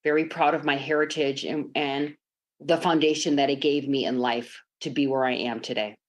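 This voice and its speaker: Woman, low voice, American, sports executive, reflective, hesitant fillers, remote call. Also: fairly even intonation, normal speed. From Chicago, United States. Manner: earnest, reflective, many ums and uhs. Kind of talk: podcast interview, telling her life story.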